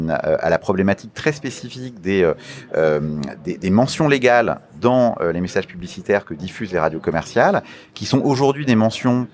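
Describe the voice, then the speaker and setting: A man giving a formal interview answer as a guest, speaking in a measured, deliberate way with frequent pauses. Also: on the low side. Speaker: interview guest, media regulator official.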